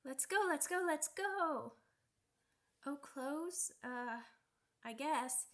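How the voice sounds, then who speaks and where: A woman voicing an excitable, stubborn young werewolf pup in an ASMR roleplay, with childish, halting, repetitive speech. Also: sits high, full character voice. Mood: excited, eager. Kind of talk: ASMR character roleplay audio drama.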